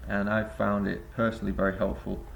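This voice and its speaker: A man's voice: lowish voice, level tone, brisk, vocal fry, slight background noise, poor audio. A man giving a reflective spoken essay to camera as a vlogger, rambling and hesitant with many filler sounds. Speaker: vlogger and busking singer.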